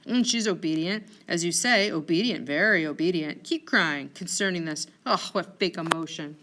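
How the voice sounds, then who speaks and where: A woman reads the part of a proud general eaten up by jealousy. The voice sits a little low, wrathful and full of bitter sarcasm. Each line lands as an accusation in a stage tragedy read aloud. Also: lively intonation, fairly quick. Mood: scornful rage. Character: jealous, raging military general, a married man.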